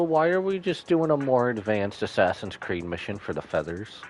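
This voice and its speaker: Animated, low-pitched male game streamer. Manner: animated, casual. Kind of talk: live reaction commentary and reading game text aloud. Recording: faint game music behind.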